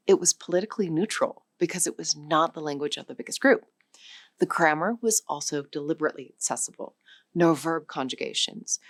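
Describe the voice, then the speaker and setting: Low-voiced woman narrating a lesson. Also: no room sound, studio quality. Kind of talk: scripted educational narration.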